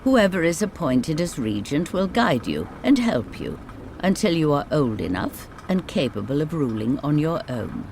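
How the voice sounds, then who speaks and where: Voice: very animated, a little mellow. Grave, commanding nobleman, as a storyteller reads him, rain behind. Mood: solemn. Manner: formal, measured, authoritative. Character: Lord Grath, grave commanding adult nobleman, the dead Emperor's brother. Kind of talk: bedtime fairy tale character reading. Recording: clear background rain and rumble noise.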